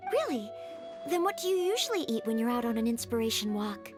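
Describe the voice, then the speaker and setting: A woman's voice: a little high, very animated, fairly quick, music in the background. A woman voicing an eager, modest and helpful young maid girl in a video game, polite and earnest. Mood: attentive, curious.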